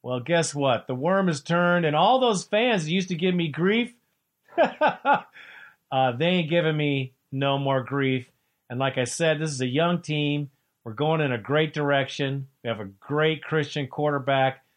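Host: man, fairly high voice, excitable fan talk, preachy streak. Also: a little slow. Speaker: radio show host. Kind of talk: sports talk radio.

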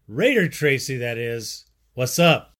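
A man's voice: mid-range voice, very animated, slow, noisy background. A man hosting a sports call-in show, laid-back and chatty, calling callers "bro". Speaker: sports talk show host. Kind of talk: casual call-in show chat.

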